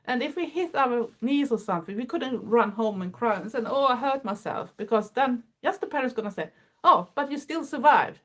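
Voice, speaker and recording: A woman's voice: on the high side, lively intonation, normal speed, a bit bright. A Swedish woman in her fifties with a Swedish accent, chatting earnestly to her viewers in English with small grammar slips. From Sweden. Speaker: livestream host. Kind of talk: casual chat.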